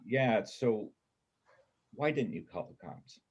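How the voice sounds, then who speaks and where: A man acting as a bookish ex-convict drifter in a stage reading, husky and fairly low. He talks in a blunt, wry, colloquial way, like a loner who doubts his own worth. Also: lively intonation, slow, dull audio, exaggerated voice. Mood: wry, probing. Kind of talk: stage reader's theater dialogue.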